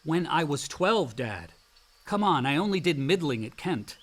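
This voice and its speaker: An actor playing an outdoorsy, slightly self-doubting grown son with a casual, effusive manner in a naturalistic radio drama. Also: male voice, on the high side, big pitch swings, unhurried. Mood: earnest, self-doubting. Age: young adult.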